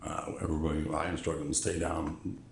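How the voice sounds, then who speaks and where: A man with a deep voice testifying as a witness in court, halting and polite, often restarting sentences. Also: little pitch movement, creaky, echoey room, a little hiss or hum. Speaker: trial witness.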